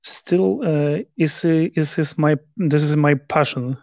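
A man in his thirties from Poland with a Polish accent, speaking halting English with many restarts in a video call interview. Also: fairly high pitch, vocal fry, a little mellow, dry recording, lo-fi sound. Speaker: interview guest, rower. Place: living room at home.

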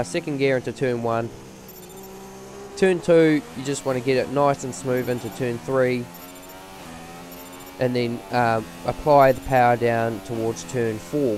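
A man with a voice strained from a cold, giving rambling, casual commentary over a racing game with many fillers. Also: mid-range voice.

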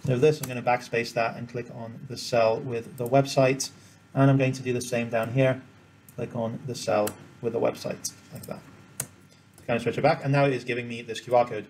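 A man's voice: medium pitch, flat delivery, normal speed, darkish tone, slight background noise. A man presenting an informal screen-share tutorial, explaining each step as he demonstrates it. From Cambodia.

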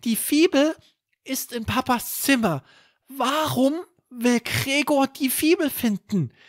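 A man's voice: very high voice, takes it slowly, dry recording, clean studio sound, voice pushed up. A man voicing an anxious, curious young boy in a bedtime storybook, full of puzzled questions.

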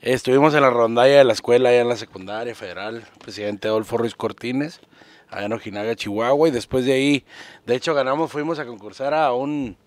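Jovial bassist and singer from Mexico. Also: male voice, brisk, no room sound. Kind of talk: casual group interview.

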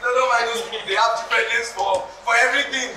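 A young man with a Nigerian accent playing an excitable friend pitching a business in a stage play. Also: racing. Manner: excitable, argues loudly. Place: stage in a hall. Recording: echoey hall, far camera mic, noise.

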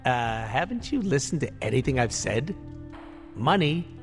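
A man's voice: mid-range voice, moderate pace, vocal fry, soft background music. A man playing an irreverent, wisecracking mercenary antihero in a video game, sarcastic and flippant. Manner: sarcastic, flippant, cartoonishly animated. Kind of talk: comic video game character acting.

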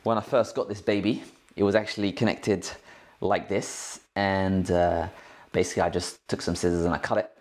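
A man's voice: low voice, flat delivery, moderate pace. A man hosting a tech tutorial in a casual, informal, slangy way, explaining things step by step. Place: indoors at a cluttered work desk.

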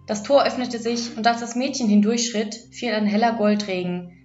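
A woman's voice: a little high, normal speed, darkish tone. Echoey storytelling woman over music. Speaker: audiobook storyteller. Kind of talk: scripted fairy tale narration for children. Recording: echoey, dull lo-fi, music behind.

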